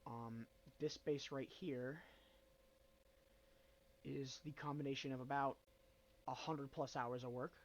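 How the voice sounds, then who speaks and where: A man's voice: mid-range voice, steady tone, takes it slowly, long pauses, highs cut off, a little hiss or hum. A young man with a bad cold hosting a casual game walkthrough, rambling and hesitant with many ums and self-corrections. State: nasal from a cold. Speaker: gaming channel host.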